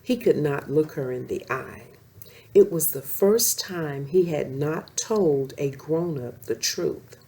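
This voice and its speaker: A woman's voice: low-pitched, animated, slow, dark tone. A woman hosting a story time, reading aloud expressively for young children.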